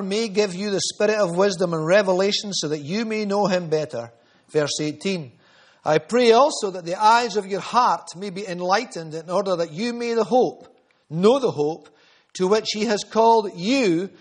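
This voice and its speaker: High-voiced preacher. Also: male voice. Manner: earnest, storytelling, repeats phrases for emphasis. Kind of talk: sermon.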